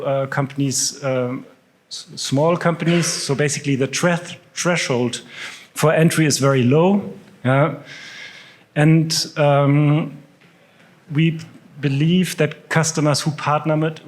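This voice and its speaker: German-accented male speaker, conference talk, halting delivery with lots of ums. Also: medium pitch. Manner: hesitant, many fillers. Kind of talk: stage presentation.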